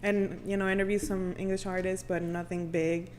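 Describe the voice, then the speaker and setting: A woman's voice: fairly low pitch, level tone, normal speed, a little mellow. A young woman talking casually and informally as a radio personality guest on a podcast. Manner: chatty, informal. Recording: clear background noise.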